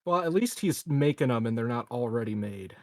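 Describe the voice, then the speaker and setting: A man's voice: medium pitch, lively intonation, fairly quick, darkish tone, no room sound, studio quality. A man playing a tabletop game, chatting casually with a wry, joking manner.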